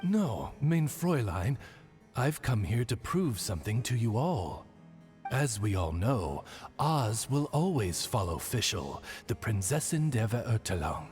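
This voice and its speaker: A man's voice: medium pitch, very animated, unhurried, a little mellow, soft background music. A man voicing a loyal, courtly talking bird in a video game, speaking formally and eloquently like a dignified sage servant. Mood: dignified, admiring. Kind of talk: theatrical video game voice acting.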